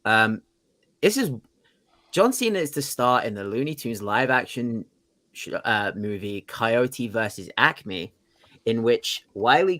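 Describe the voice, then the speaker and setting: A man's voice: medium pitch, a bit bright. A man co-hosting a podcast, presenting entertainment news in a casual, conversational way with some swearing. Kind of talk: casual, profane news chat.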